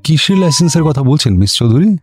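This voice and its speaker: An actor playing an impatient district magistrate in a Bengali radio comedy, curt and authoritative, with a West Bengal accent. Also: male voice, a little high, animated, fast talker, faint music behind, close to an everyday voice. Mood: questioning. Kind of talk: radio play acting.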